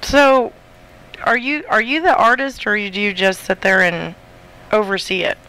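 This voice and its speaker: A woman's voice: mid-range voice, fairly even intonation, a little slow, bright, forceful tone, smooth. A woman co-hosting a casual interview, chatting informally. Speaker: co-host. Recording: noisy line with clicks.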